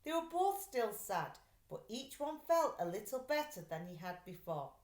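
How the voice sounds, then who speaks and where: A woman reading a children's storybook aloud like a teacher, in an expressive, deliberate way. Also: a little high. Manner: animated, deliberate storytelling delivery. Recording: roomy, echoey sound.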